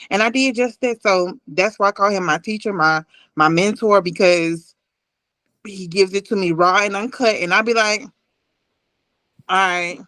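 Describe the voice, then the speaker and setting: A woman's voice: medium pitch, a bit bright, dry recording. American woman, close dry sound. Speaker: poet and playwright, interview guest. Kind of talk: personal storytelling in an interview. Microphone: phone on a video call.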